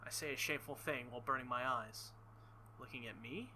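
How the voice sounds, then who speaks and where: A man hosting a visual novel playthrough, reading lines aloud and tossing in animated, sweary, joking commentary. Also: mid-range voice, brisk, a little hiss or hum, rough recording. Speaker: video game playthrough host.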